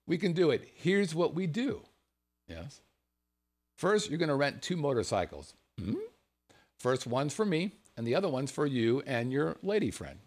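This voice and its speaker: A man from the United States, a show host, telling a personal anecdote in a casual, animated way and chuckling as he goes. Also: fairly high pitch, normal speed. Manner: animated storyteller, chuckles through his words.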